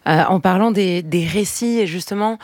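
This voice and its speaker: A woman's voice: on the low side, moderate pace, a little mellow, dry recording. A woman hosting a radio talk show who asks guests questions with many small hesitations. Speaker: radio host. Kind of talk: radio interview.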